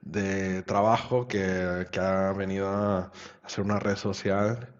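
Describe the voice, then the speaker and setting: A man who is a native Portuguese speaker, talking casually and haltingly as an interview guest, often ending phrases with a questioning tag. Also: low-pitched, little pitch movement, dull audio. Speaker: interview guest, web developer.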